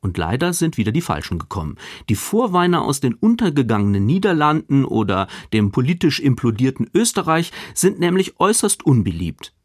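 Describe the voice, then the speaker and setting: Male critic, scripted radio review, animated intonation, medium pitch, wry articulate delivery, dry studio sound. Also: a little fast, few pauses, no echo. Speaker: literary critic.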